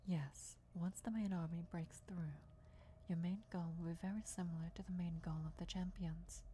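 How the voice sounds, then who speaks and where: Woman as female vampire emissary for ASMR roleplay; low-leaning, mellow, breathy whisper, formal and reverent. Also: slight background noise, lightly acted. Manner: hushed, formal, measured, reverent. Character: deferential female vampire emissary briefing her lord before war.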